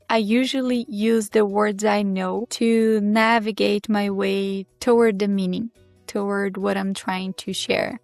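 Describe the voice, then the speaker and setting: A Brazilian woman, a native Portuguese speaker with a Brazilian accent, hosting her English-learning channel and talking in a calm, measured, candid way. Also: mid-range voice, creaky, no echo. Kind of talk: reflective teaching monologue to camera. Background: soft background music.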